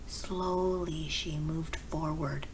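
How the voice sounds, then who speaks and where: A woman narrates a bedtime story in a low, soft, breathy voice. The reading is gentle and very unhurried. Clear background noise sits under the recording. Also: fairly even intonation. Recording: clearly noisy background with ambience.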